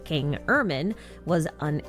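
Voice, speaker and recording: A woman's voice: sits low, lively intonation, slow, fairly bright tone, soft background music. A woman reading conversational scripted narration for a game recap, with wry, informal asides.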